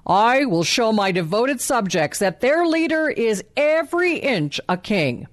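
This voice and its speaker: A woman voicing a proud, vain male lion king who makes booming, grand declarations. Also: very animated, unhurried, dry recording. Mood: pompous.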